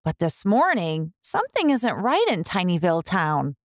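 A woman reading a picture book aloud for young children, expressively, with deliberate, clear enunciation. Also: lowish voice, huge pitch swings, dry recording, studio quality. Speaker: children's book reader, host.

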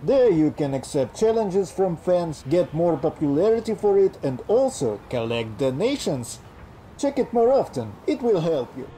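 Eager young mechanic. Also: male voice, a little high, sing-song, normal speed, dark tone, slight background noise, poor audio. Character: eager young male mechanic in a gas mask.

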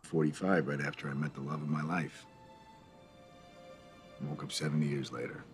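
An actor playing a stoic, sorrowful American soldier who counsels others in an understated film drama. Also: male voice, a bass voice, steady tone, moderate pace, music in the background. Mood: sorrowful, steady.

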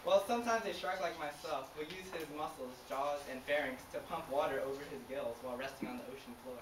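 A woman's voice: low-pitched, fast, lightly acted. A woman voicing a boastful, touchy male shark in an amateur comic puppet show, with a giggly delivery. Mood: explaining. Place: indoors, homemade puppet stage filmed by a camera. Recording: echoey, distant, noisy home camera recording.

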